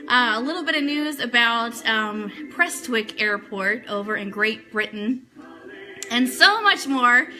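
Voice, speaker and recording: A woman's voice: sits high, pushed and bright, low-quality recording. A woman hosting a live online radio show, chatting casually with viewers as she shares news items. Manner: chatty, addressing viewers and reading their comments.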